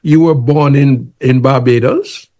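A man's voice: medium pitch, unhurried, dark tone. A man hosting a radio interview, asking prompting questions and often murmuring agreement. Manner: prompting questions, frequent backchannel.

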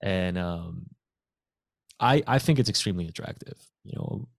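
A man hosts a podcast conversation, asking long, winding questions full of restarts and "you know". His voice is very low. The sound is dry, with no room echo. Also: lively intonation, normal speed, vocal fry. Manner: enthusiastic, restarts often, frequent "you know". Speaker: podcast host. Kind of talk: casual podcast interview.

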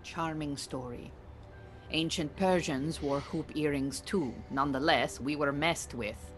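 An actress playing a proud, disillusioned Iranian refugee beekeeper in an audio drama, speaking in formal, dry, exacting phrases. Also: female voice, deep voice, a little slow, soft background music. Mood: stern. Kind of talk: naturalistic audio drama acting.

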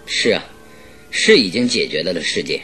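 A man's voice: low voice, lively intonation, pushed and bright, faint music behind, rough recording. A man playing a self-assured, secretive detective in a radio drama, teasing and evasive. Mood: amused, confident. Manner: chuckling, teasing, unhurried and evasive.

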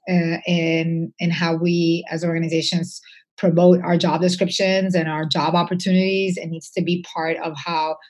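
A woman's voice: on the low side, a little mellow. A woman speaking as an interview guest, conversational, reflective and earnest, with emphasis on her points.

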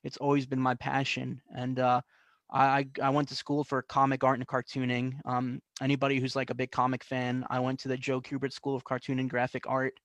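Flat-voiced man in casual talk. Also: mid-range voice, brisk, dry recording. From New York and New Jersey, US. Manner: rambling, with many fillers and restarts. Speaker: guest artist.

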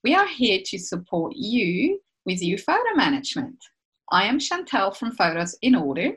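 A woman's voice: mid-range voice, big pitch swings, normal speed, a bit bright, breathy edge. A woman in her fifties with a Swiss accent, hosting a podcast in a casual, chatty style. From Switzerland. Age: 50s. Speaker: podcast host.

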